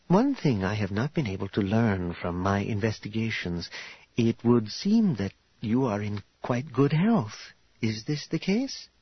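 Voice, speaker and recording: A man voicing a formal, self-assured wealthy noblewoman for an audiobook, courteous and direct, with measured, old-fashioned phrasing. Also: fairly low pitch, sing-song, slightly rough, no echo, highs cut off, full character voice. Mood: earnest. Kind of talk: audiobook character voice.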